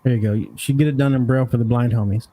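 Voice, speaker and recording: A man with a medium-pitched voice and a brisk pace. He co-hosts in loose, joking conversation, using crude slang as he goes. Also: steady tone, mellow. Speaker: podcast co-host. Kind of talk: casual joking chat.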